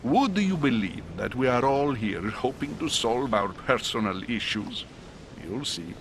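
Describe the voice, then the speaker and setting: A man voicing a sly, fatherly cardinal in a video game, courtly and knowing, over a rumbling background. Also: expressive, measured pace, a bit bright, a little hiss or hum. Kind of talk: video game cutscene acting.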